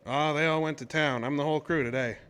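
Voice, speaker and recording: An actor playing a folksy, easygoing oil driller in a horror audio drama, talking in colloquial rural speech. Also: male voice, a little high, fairly even intonation, fast talker, a bit bright, clean tone, natural voice. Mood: relaxed, hospitable. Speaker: narrator and lead character. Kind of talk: first-person horror audio drama storytelling. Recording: noisy track with ambient sound.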